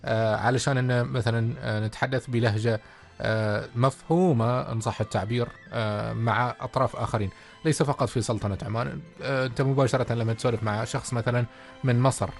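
A man with an Omani Arabic accent co-hosting a radio talk show, relaxed and full of hesitant fillers. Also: medium pitch, fast talker, gravelly, faint music behind. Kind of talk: casual radio chat.

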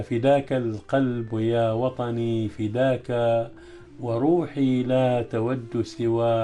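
A male poet interviewed as a guest, speaking hesitantly and then reciting his poems in a slow, chanting cadence. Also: mid-range voice, dark tone.

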